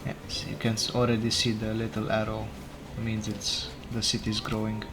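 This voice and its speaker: A man whose first language is not English, casually commenting on his game as he plays, unhurried and thinking aloud, often correcting himself. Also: fairly low pitch, monotone. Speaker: let's play gamer. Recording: game music playing behind. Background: game music.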